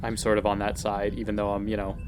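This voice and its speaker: A man's voice: on the low side, completely flat delivery, quick pace. A man playing a tabletop role-playing game, talking through options casually and tentatively. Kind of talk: casual in-character game discussion. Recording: music playing behind the voice. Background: music.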